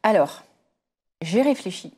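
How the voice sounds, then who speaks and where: A woman's voice: mid-range voice, animated, slow, darkish tone, slightly rough. A woman from southwest France, a guest in an interview, answering in an easygoing, hesitant way with frequent fillers. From southwest France, near Bordeaux. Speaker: guest, company security manager.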